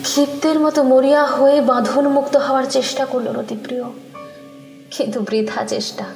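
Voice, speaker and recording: A woman narrating a horror audio story in an expressive, suspenseful way, sometimes dropping to a whisper. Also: high voice, a little fast. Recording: echoey reverberant studio mix with music.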